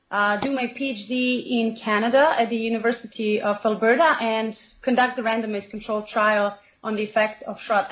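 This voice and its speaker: A woman in her forties with a Serbian accent, a guest researcher speaking in a steady, informative way. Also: fairly high pitch. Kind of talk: podcast interview. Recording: dull, muffled audio.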